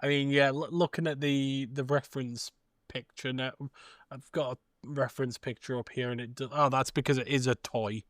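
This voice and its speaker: A man with a medium-pitched voice chats as a podcast co-host. He explains things in a measured way, often starting hesitantly. The recording is close and dry. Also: fairly even intonation, no room sound. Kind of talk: casual chat.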